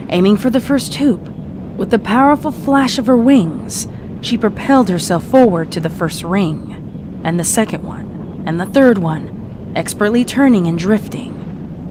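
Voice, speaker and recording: A woman's voice: on the low side, noisy background. A woman reading scripted fiction as a narrator, in a dramatic storytelling style.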